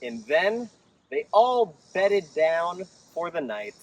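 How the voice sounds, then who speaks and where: A man reading a picture book aloud to children in an expressive, theatrical way. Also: high voice, takes it slowly, distant mic, poor audio. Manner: theatrical, animated. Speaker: children's storytime reader.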